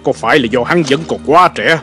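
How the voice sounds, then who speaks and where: A man's voice: mid-range voice, fairly quick, a bit bright, faint music behind, lightly acted. A man playing an authoritative, deliberating warlord ruler in a historical cartoon dub. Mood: doubtful. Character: measured male warlord ruler weighing counsel. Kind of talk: dubbed animated historical drama.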